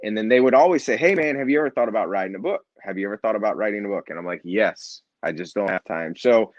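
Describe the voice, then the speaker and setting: A man's voice: fairly low pitch, fast, no room sound, lo-fi sound. A man being interviewed about his nonprofit and his book, talking in an earnest, reflective way with frequent restarts. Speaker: nonprofit founder and author, guest. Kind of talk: conversational interview.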